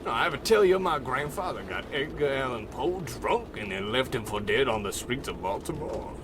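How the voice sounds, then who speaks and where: Male actor as blustering hired thug, radio comedy: animated, wisecracking, folksy, with clear noise behind. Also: medium pitch, moderate pace, natural voice. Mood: cocky, chatty. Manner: wisecracking, blustery, folksy tough-guy phrasing. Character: blustering, cocky male hired goon boss. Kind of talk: old-time radio comedy acting.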